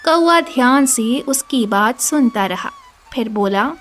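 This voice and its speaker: A woman narrating an animated children's story as a scripted storyteller. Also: on the high side, takes it slowly. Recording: music behind the voice.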